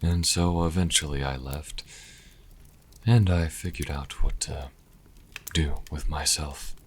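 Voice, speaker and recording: A man playing a proud, wry vampire healer in an intimate audio roleplay, speaking to the listener with haughty, deliberate pauses. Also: fairly even intonation, full character voice. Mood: reflective, wry. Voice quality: very deep, husky, breathy at times. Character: sassy male vampire healer, proud, wry, grudgingly charitable.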